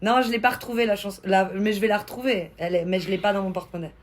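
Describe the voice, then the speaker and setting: A woman's voice: mid-range voice, very fast. A young woman hosting a livestream, chatting casually, teasing her guests and thanking viewers. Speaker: livestream host.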